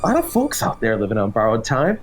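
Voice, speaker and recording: A man's voice: medium pitch, wildly swooping pitch, quick pace, noisy background. A man playing a menacing undead gunslinger in a western horror audio drama, taunting in a drawl. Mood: calm menace. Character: sinister undead gunslinger stranger, soul-collecting male spirit.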